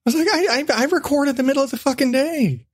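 A man's voice: very high-pitched, quick pace, dry recording, studio quality. A man hosting a casual podcast chat, rambling along and swearing freely. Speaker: podcast host.

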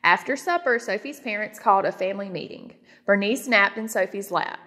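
A woman's voice: mid-range voice, a little fast, pushed and bright. Woman reading a storybook in a reverberant room. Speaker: storybook reader. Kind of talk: children's read-aloud narration.

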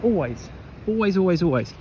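A man's voice: sing-song, a little fast. A man giving an off-the-cuff, opinionated coaching monologue outdoors, emphatic, repeating phrases and posing rhetorical questions. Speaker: running coach and vlogger. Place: outdoors on a beach walk. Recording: windy, noisy outdoor mic, rumbling background. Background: wind on the microphone, ambient noise.

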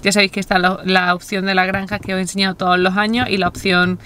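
A woman's voice: medium pitch, level tone, quick pace, sharp tone, a little hiss or hum. A woman in her thirties with an accent from Spain, chatting casually and explaining things to camera as a vlogger.

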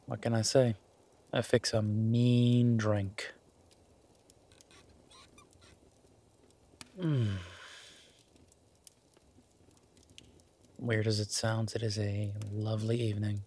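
A man playing an affectionate, easygoing werewolf boyfriend in an intimate roleplay audio, with a husky, breathy voice and a soft, teasing manner. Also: fairly low pitch, flat delivery, a little slow, slight background noise, natural voice. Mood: content, romantic. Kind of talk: intimate boyfriend roleplay audio, casual one-sided chat.

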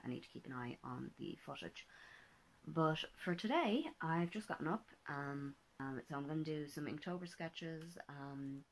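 Hoarse low-voiced young woman vlogging. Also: a little hiss or hum, low-quality recording. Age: 20s. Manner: chatty, rambling, self-deprecating, many ums. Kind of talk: casual vlog chat. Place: small bedroom indoors. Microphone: laptop or phone camera at desk.

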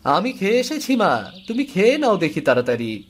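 A woman's voice: mid-range voice, sing-song, moderate pace, husky. A woman voicing a dutiful, kind-hearted young village boy in a cartoon, earnest and caring.

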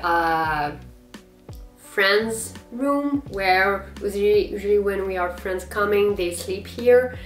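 Slow-talking French Canadian woman vlogging. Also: mid-range voice, reverberant. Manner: unhurried and improvised, frequent pauses and fillers with short laughs. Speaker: cosplayer vlog host. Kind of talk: unscripted casual vlog. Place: small indoor guest room with manga shelves.

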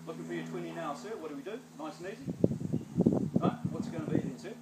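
A middle-aged man with a New Zealand accent calling bids as an auctioneer in a backyard, coaxing buyers and chanting the price in brisk repeats. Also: on the high side, animated, echoey room, heavy background noise. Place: sunny backyard outdoors. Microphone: phone camera at a distance.

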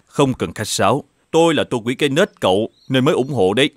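A man voicing a kindly, generous older villager in an animated fairy tale, with an easygoing, familiar manner. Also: mid-range voice, fairly quick, dry recording, lightly acted. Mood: generous, friendly. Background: background music.

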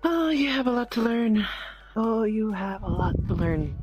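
A woman's voice: fairly high pitch, animated, unhurried, a little mellow, slightly rough, faint music behind. A woman playing a stressed, caring friend in improvised role-play, hesitant and often repeating her words. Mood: teasing. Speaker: role-player in a virtual world.

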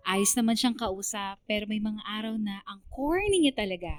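A woman's voice: mid-range voice, animated, normal speed, lightly acted. An actress playing a young woman who narrates her own story in a sincere, conversational, scripted radio drama style. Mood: fond, reflective. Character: reflective young woman recounting her letter to a DJ.

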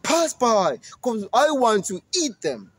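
A man's voice: very high voice, sing-song, takes it slowly, husky, no echo, pitched above the performer's own voice, full character voice. A man voicing a greedy, hungry male monster under a bridge who threatens to eat goats. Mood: menacing. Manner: loud, threatening.

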